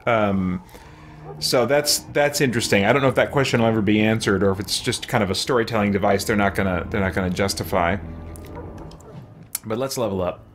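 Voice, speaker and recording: A man's voice: lowish voice, brisk, slight background noise. A middle-aged man giving casual let's play commentary, musing and thinking aloud. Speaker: game streamer. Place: room with shelves at home.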